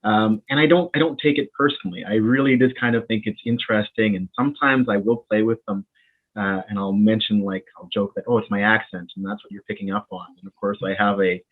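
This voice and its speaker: A man with a Winnipeg accent and a fairly low voice talks as a doctor in a relaxed interview. He sounds friendly and animated, and he often repeats words as he gets going. The sound comes over a thin, tinny line, as if through a phone. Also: a little fast. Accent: Canadian, Winnipeg. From Bahamas. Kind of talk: casual interview conversation.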